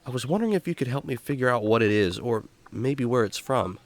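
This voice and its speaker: A man's voice: mid-range voice, lively intonation, brisk, natural voice. A man playing an upbeat, friendly jungle explorer who hosts stories in a children's radio drama. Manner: showman-like, friendly, emphatic. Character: upbeat jungle explorer man hosting a kids' story show. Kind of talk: kids' radio drama acting.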